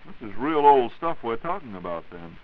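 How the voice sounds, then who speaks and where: A man's voice: medium pitch, very animated, normal speed. An American man hosting a scripted 1940s radio show in a wry, folksy manner. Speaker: radio host. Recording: muffled, hissy 1940s radio recording.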